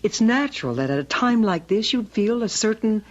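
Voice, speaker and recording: Woman acting peppy persuasive gal pal in old radio drama, swoopy husky voice, muffled recording. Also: medium pitch, normal speed, close to an everyday voice. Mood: soothing. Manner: animated, persuasive, soothing. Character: brisk, coaxing, fun-loving woman friend of a widow.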